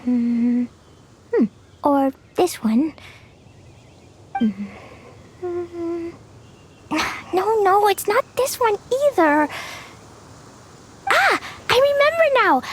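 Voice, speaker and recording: A woman voicing a cheerful, innocent, impulsive little girl adventurer in a video game, with a childlike, naive, excitable manner. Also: very high voice, slow, pauses often, slight background noise, full character voice. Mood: eager, pondering. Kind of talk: video game character acting.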